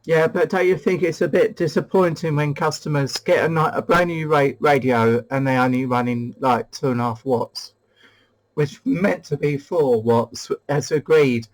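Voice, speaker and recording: A British man with a slightly high voice hosts a casual two-way radio chat. He is talkative and informal, often doubling back and repeating phrases as he goes. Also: normal speed, poor audio. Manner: chatty, hesitant, repeats phrases. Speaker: host of a CB radio review video.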